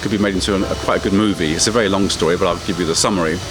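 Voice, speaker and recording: Deep-voiced English man telling stories. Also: steady tone, very fast, background noise. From England. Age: middle-aged. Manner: chatty storyteller, self-deprecating humor. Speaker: interviewee, English teacher. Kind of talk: casual interview answers.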